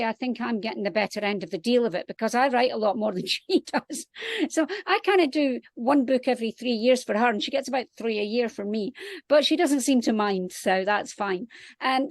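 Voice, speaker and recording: A woman's voice: on the high side, no echo. A woman from Scotland with a Scottish accent, talking casually outdoors as a guest author on a panel.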